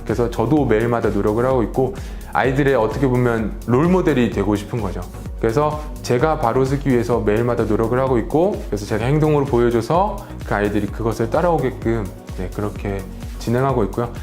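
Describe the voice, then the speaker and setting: A man's voice: medium pitch, fairly quick, a little mellow. A man with a mid-range voice speaks in a testimonial interview as an educator. His delivery is earnest and motivational, and the room echoes around him under faint music. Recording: roomy echo, faint music.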